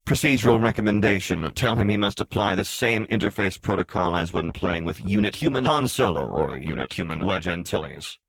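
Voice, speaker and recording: A man's voice: sits low, lively intonation, a little fast, vocal fry, hardly any pauses, husky, no room sound, heavily acted, low-quality recording. A man voicing a smug, sardonic little droid in a story, in a clipped, formal, robotic way. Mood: dry, sardonic. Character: smug, sardonic little astromech droid, read as translated text.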